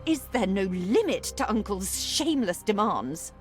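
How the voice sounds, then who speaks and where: An actress playing a loyal, wry family adviser in a video game, speaking in a practical way. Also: female voice, medium pitch, very animated, measured pace. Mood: wry, indignant. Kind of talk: video game cutscene acting. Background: music.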